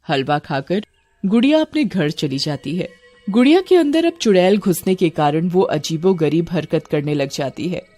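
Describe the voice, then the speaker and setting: A woman narrating a cartoon horror story in an even, storybook manner. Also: on the low side, normal speed, soft background music.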